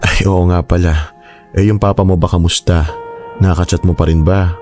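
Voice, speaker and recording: A man's voice: sits low, fairly even intonation, normal speed, darkish tone, close to an everyday voice. A man playing a concerned, exasperated middle-aged uncle in a Tagalog radio drama. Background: music.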